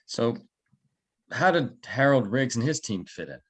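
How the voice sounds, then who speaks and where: A man playing a calm, probing security guard turned investigator in a naturalistic script read, low-key and terse. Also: unhurried, slightly rough.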